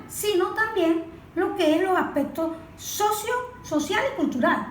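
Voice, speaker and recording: A woman explaining as an expert in an interview, didactic, asking questions and answering them herself. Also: very high-pitched. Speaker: environmental expert being interviewed. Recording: echoey room with faint background noise.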